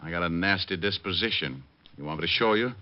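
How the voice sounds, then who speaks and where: An actor playing a wisecracking, hard-boiled private detective with a flippant manner in an old-time radio drama. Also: male voice, low voice, quick pace, lightly acted. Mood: sardonic, tough. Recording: dull old radio recording.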